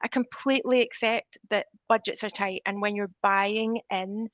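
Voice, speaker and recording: A woman's voice: mid-range voice, fairly even intonation, normal speed, dry recording. A middle-aged woman with a Scottish accent, a teacher speaking earnestly and emphatically in a discussion over a remote link. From Scotland. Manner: earnest, emphatic about children's needs. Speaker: teacher at a specialist school. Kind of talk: conversational discussion.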